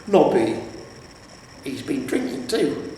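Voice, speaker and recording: A man's voice: medium pitch, sing-song, slow, warm tone. A man voicing a dim, opportunistic police corporal in a storybook, blunt and cheekily matter-of-fact. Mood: suspicious, scheming. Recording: roomy, echoing, distant mic with noise.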